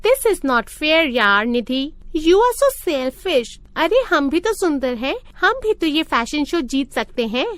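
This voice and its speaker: A woman puts on a very high schoolgirl voice for a cartoon moral story. The girl is bossy and touchy, speaking in a pert, taunting way. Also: very animated, takes it slowly, few pauses, a bit bright, no echo, full character voice. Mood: indignant.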